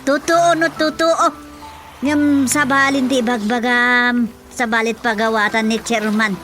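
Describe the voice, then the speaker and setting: A woman's voice: on the high side, fairly even intonation, a little slow, a bit bright, faint music behind. A woman playing a scolding, bossy villager in a radio comedy, with a commanding manner. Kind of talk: radio comedy drama acting.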